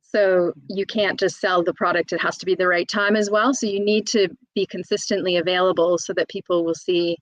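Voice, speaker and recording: A woman in her thirties with a lowish voice, speaking as a guest in an interview. She talks candidly and chattily, with many fillers and restarts, and sounds close and dry with no room echo. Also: fairly even intonation, brisk. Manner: open, chatty, frequent fillers and self-restarts. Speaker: guest, self-employed employment solicitor.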